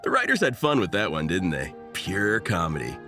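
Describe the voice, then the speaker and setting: Game role: amused, easygoing man, teasing air, hugely varied intonation, clear music bed. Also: deep voice, wildly swooping pitch, moderate pace, sharp tone, full character voice. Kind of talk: anime video game dialogue.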